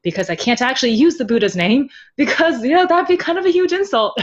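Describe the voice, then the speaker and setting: A woman being interviewed about her translation work, animated and expressive, laughing often as she tells stories. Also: sits high, quick pace. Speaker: guest translator. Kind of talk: casual interview chat. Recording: slightly dull lo-fi sound.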